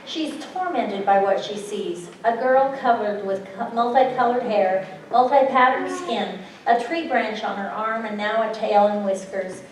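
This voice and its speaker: A woman's voice: a little high, a little slow, few pauses. A woman reading stage directions as scripted narration at a staged theatre reading. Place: large echoey indoor venue. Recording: echoey hall, distant mic, music.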